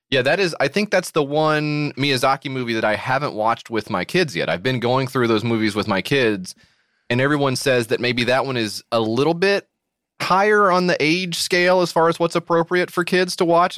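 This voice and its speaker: Male podcast host, bright lively delivery, dry close sound, teasing and joking. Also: mid-range voice, normal speed, dry recording. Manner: joking, teasing, stammering restarts. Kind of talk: casual podcast chat.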